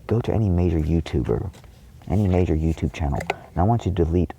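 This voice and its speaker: Very deep, gravelly, husky man's voice. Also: expressive, fast talker, noisy background. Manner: indignant, emphatic, repetitive; frequent swearing. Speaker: video creator addressing viewers. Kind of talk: impassioned monologue to viewers.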